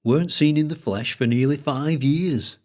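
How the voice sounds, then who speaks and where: An older Lancashire collector with a thick accent, in a story read aloud, as the narrator puts him on. He drones on in an unhurried, secretive way, his voice lifted higher than the narrator's own. It has a slightly different, altered timbre from the reader's usual voice. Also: darkish tone, close to an everyday voice. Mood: droning. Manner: droning, unhurried storytelling with dialect grammar. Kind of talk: audiobook character voice.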